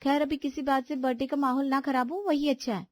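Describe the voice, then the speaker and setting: A woman's voice: high voice, flat delivery, brisk, a little mellow, no room sound, studio quality, close to an everyday voice. A woman voicing an outspoken younger daughter-in-law in a family story, complaining and pleading her case. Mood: aggrieved. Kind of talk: animated Hindi family story dialogue.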